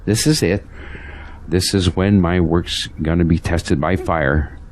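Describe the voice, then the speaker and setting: A man playing a burdened, earnest pastor in an audio drama, speaking solemnly and haltingly. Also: sits low, steady tone, a little hiss or hum. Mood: anxious, prayerful.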